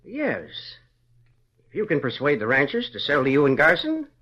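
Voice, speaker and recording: A man's voice: fairly high pitch, huge pitch swings, normal speed, bright, forceful tone, exaggerated voice. An actor in a 1940s radio western playing a cagey, secretive money man with a hedging delivery. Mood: guarded. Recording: dull vintage radio recording.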